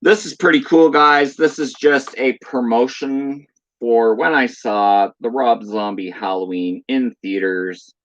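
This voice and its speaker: A man's voice: mid-range voice, a little slow, fairly bright tone, no echo, muffled recording. A man hosting a casual video chat, reading box blurbs aloud and talking about his collection while losing his voice. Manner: reads box blurbs aloud in a chatty, offhand way. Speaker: channel host. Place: room at home.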